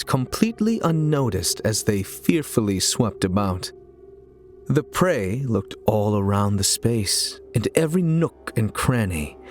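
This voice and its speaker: A man giving a dramatic, deliberate and suspenseful narration of a scripted audio story, with theatrical phrasing. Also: lowish voice. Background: music.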